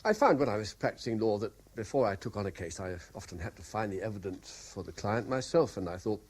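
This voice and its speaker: A man's voice: on the low side, big pitch swings, quick pace, a little mellow, breathy edge. An actor playing an anxious, hesitant middle-aged French lawyer and former policeman in a radio drama, stammering and apologetic. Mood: nervously affable. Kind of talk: 1970s radio drama acting. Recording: hissy vintage radio broadcast.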